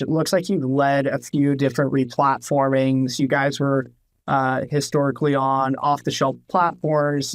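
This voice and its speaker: A man's voice: medium pitch, dry recording. A young man hosting a podcast interview over a video call, asking questions in an upbeat, friendly way. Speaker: podcast host.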